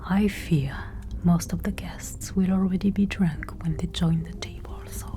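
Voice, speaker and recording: A woman performing a whispered ASMR roleplay as a secretive 1500s noblewoman, speaking in a hushed, measured, conspiratorial way. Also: on the low side, steady tone. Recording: music playing from another room. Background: period music, muffled as from another room.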